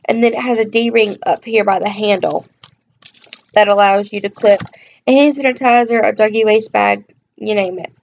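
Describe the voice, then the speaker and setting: A chatty young woman talks her way through a show-and-tell from her bedroom, rambling and filling pauses with ums. Her voice sits at an ordinary pitch. It comes through a dull, lo-fi webcam mic with a faint hiss underneath. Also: steady tone, creaky. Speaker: vlogger. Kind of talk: casual show-and-tell vlog. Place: bedroom, indoors. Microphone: webcam at close range.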